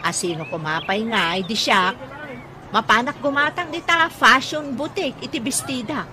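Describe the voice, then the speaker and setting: A woman playing a vain, fussy mother with a sharp temper and an Ilocano accent in a radio comedy drama. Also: on the high side, moderate pace, crowd noise, close to an everyday voice. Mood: haughty chat. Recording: noisy radio drama recording, ambient sounds.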